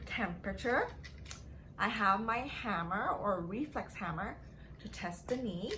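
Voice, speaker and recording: Lady doing kids story time, really sing-song and slow, talks simply to little kids, noisy background hiss. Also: medium pitch, sharp tone. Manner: simple, drawn-out phrasing addressed to children. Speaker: children's story-time host and teacher. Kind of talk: read-aloud narration and playful teaching to young children.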